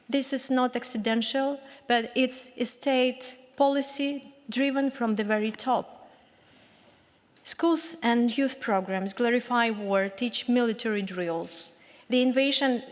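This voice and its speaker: A woman from Ukraine, speaking English with a Ukrainian accent, giving an earnest, measured speech as a member of parliament. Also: fairly high pitch. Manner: earnest, measured, with some restarts. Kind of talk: prepared speech at a conference. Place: large parliament meeting hall. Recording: long reverb of a large hall.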